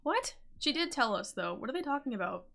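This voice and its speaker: A woman's voice: high voice, big pitch swings, a little fast, vocal fry, fairly bright tone. Casual, offhand woman streamer. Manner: offhand, sarcastic, reactive. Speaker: game streamer. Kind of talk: casual live game commentary.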